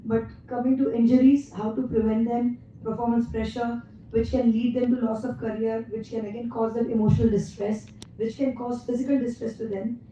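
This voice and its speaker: An Indian woman from Mumbai giving an energetic conference talk to physiotherapists, her voice partly lost, often pausing with "uh.". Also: on the high side, slight background noise. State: losing her voice. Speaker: guest speaker at a physiotherapy event. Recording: echoey hall, distant dull mic.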